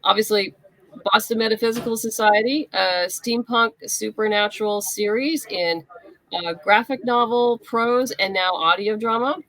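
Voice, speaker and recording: A woman's voice: medium pitch, a little slow, fairly bright tone, low-quality recording. A woman speaking casually as the guest in an interview.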